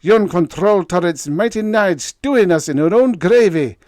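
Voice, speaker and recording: Give me a gruff, bellowing spaceship engineer in old-timey dialect, as a narrator puts him on. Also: male voice, high-pitched, animated, moderate pace, a little mellow, no room sound, studio quality, voice pushed up. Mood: angry. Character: gruff, irritable male chief engineer on a spaceship.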